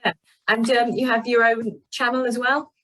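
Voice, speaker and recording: A woman's voice: on the high side, flat delivery, moderate pace, a bit bright, poor audio. A British woman conducting a casual interview, putting questions in a tentative, conversational way. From Cornwall, England.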